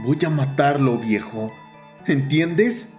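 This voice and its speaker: A man's voice: mid-range voice, expressive, slow, darkish tone, faint music behind, pitched above the performer's own voice. A man voicing an angry, defiant schoolboy in a dramatized horror story. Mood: determined.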